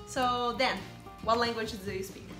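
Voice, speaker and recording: A woman's voice: fairly high pitch, steady tone, measured pace. A young Brazilian woman with a Brazilian accent, chatting casually as a vlogger, explaining things with fillers. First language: Brazilian Portuguese. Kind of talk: casual chat. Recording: echoey room with faint music.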